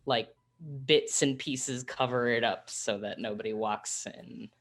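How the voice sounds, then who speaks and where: A woman's voice: rumbling low, steady tone, a little slow. A woman improvising her actions as a player in a tabletop roleplaying game.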